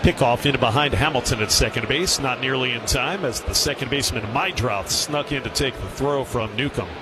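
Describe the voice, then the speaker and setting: A man's voice: medium pitch, a little fast, noisy background. A man doing baseball play-by-play on the radio, with clipped, descriptive calls that rise on the action. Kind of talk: radio sports commentary.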